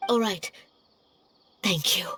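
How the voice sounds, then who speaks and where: A woman's voice: mid-range voice, slow, a bit bright. A woman voicing a polite, worried, pleading mother in a video game. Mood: grateful. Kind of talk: video game character dialogue.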